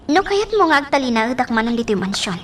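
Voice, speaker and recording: A woman's voice: high voice, very animated, fast talker, fairly bright tone, slight background noise. A woman with an Ilocano Filipino accent playing an inquisitive, insistent young mistress of a mansion in a radio drama.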